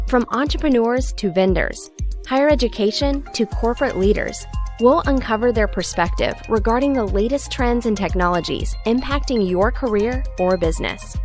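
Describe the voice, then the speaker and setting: Polished woman announcer over faint music. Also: on the high side. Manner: measured, polished delivery. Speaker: show announcer. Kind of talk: scripted intro voice-over. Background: music bed.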